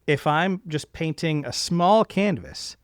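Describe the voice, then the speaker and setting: A man's voice: on the high side, expressive, slow pace, clear, resonant tone, no echo, clean studio sound. A man hosting a casual interview, reflecting at length and enthusiastically affirming his guest. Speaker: podcast host interviewing a guest.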